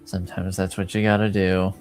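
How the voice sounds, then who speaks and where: Guarded, easygoing informant, acted by a man, lowish voice, understated and brief, music behind. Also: little pitch movement, fairly quick, lightly acted. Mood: low-key.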